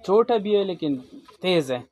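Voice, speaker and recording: A man's voice: sits high, lively intonation, normal speed, a little mellow. A man with a Pakistani accent, a shopkeeper casually demonstrating goods with brief answers and repeated assurances. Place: small shop packed with goods, indoors. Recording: clearly noisy with clicking sounds.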